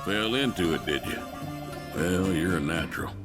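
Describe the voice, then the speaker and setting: Folksy Southern ranch boss in a game, low drawl, colorful and swinging, sure of himself. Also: male voice, lively intonation, moderate pace, music in the background. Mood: jovial. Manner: drawling, colloquial. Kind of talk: game cutscene dialogue.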